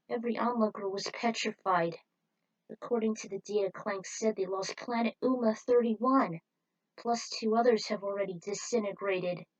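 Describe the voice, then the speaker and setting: A woman reading a fan-written story aloud. Her narration is steady and plain, at a middling pitch for a woman. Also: no echo, rough recording. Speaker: reader.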